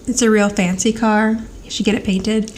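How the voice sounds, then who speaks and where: A woman's voice: mid-range voice, flat delivery, normal speed, a little mellow, a little hiss or hum. An American woman chatting casually as a podcast co-host, retelling TV scenes in a chatty, giggly way. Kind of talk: casual TV rewatch podcast chat.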